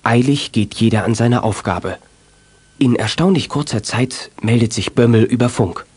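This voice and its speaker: A man's voice: mid-range voice, normal speed, darkish tone, breathy edge, natural voice. A man narrating a scripted youth detective radio play as its storyteller.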